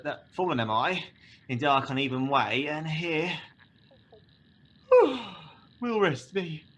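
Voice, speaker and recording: A man's voice: a little high, a bit bright, a little hiss or hum. A man acting an angry, weary young lover in a Shakespearean radio play, declaiming verse in broken phrases with sighs.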